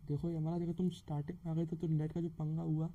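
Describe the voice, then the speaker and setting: A man's voice: a little high, level tone, racing, warm tone, highs cut off, a little hiss or hum. A young man, giving casual live commentary as he streams a game.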